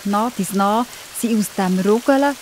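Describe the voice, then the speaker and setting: A woman's voice: mid-range voice, unhurried, background noise. A woman with a Swiss accent narrating a children's story.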